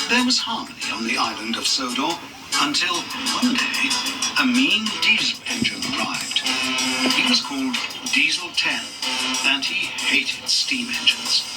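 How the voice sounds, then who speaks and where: A man reading a scripted children's story as narrator, in an expressive, dramatic read-along style. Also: medium pitch, unhurried, recorded from a distance, over loud music, rough recording.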